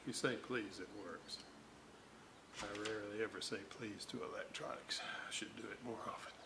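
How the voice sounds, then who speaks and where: A man's voice: on the low side, steady tone, moderate pace, gravelly, very noisy. A man talking through a radio repair at his workbench, thinking aloud and correcting himself as he measures. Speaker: radio restorer. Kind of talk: hands-on repair walkthrough.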